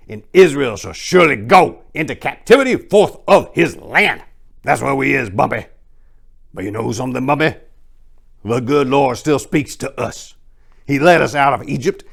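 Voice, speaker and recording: A fiery old man of the American South, raspy and raised in pitch. He rants and preaches with loud force, an aged bluesman from a crime story. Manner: ranting, scripture-quoting, forceful.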